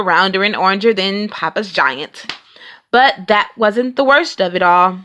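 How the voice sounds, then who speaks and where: Female storybook reader, bright and higher-pitched, expressive and unhurried. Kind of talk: expressive children's read-aloud narration.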